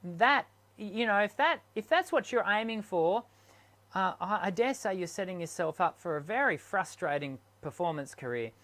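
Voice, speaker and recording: A man's voice: sits high, lively intonation, moderate pace, a bit bright. A man who coaches singers, hosting an unscripted live stream Q&A and talking hesitantly with many ums and restarts.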